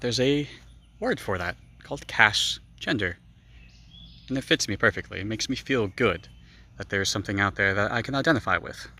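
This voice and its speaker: An American man with a lowish voice that has a bright, forceful edge. He addresses his viewers directly and speaks plainly, in measured phrases. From American Bible Belt.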